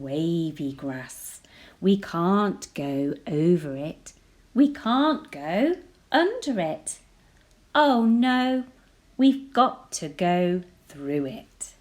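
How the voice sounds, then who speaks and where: Slow, playful storytime teacher voice. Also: female voice, deep voice. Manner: slow and playful, whispering sound words, rising to excited gasps. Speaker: teacher reading a picture book aloud. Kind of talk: expressive read-aloud storytelling for children.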